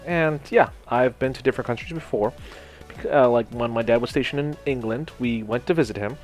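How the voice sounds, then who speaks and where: A man's voice: medium pitch, brisk, faint music behind. A young man from Hawaii casually telling a personal story over gameplay, rambling with lots of "you know" and "like" and some swearing. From Hawaii, United States. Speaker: gaming channel host.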